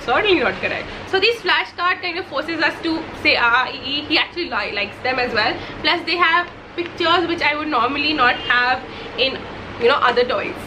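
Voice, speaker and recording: A woman's voice: high-pitched, expressive, normal speed, background noise. A young woman whose first language is Hindi, a mother chatting enthusiastically about favourite baby products, with many fillers and restarts. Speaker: vlogger, mother. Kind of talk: casual product favourites vlog.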